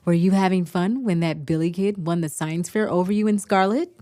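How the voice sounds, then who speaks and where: A woman playing a fiercely competitive, driven high-school team captain in an audio drama. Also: fairly quick, dark tone, no room sound, close to an everyday voice. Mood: stressed, pushy. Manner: driven, insistent. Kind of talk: scripted audio drama acting.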